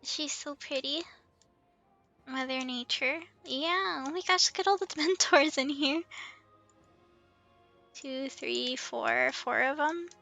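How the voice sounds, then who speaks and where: High, bright, giggly woman streamer. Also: slow, creaky, pauses often. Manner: giggly, excitable, exclamatory. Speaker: streamer, host of the show. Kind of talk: casual livestream game chat. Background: background music.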